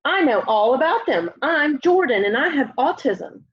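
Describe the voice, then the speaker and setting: A young woman reading a children's picture book aloud in an expressive way, often putting questions to young listeners. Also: sits high, normal speed. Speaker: storybook reader. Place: room at home, indoors. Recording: dull, lo-fi home webcam audio.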